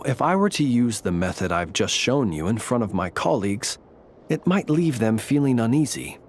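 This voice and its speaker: A man voicing a courteous, modest gentleman in a video game, speaking in a measured, polite way with wry understatement. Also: mid-range voice, a little hiss or hum. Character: courteous, gentle male lantern bearer, modest and wryly humorous. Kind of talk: video game character dialogue, storytelling.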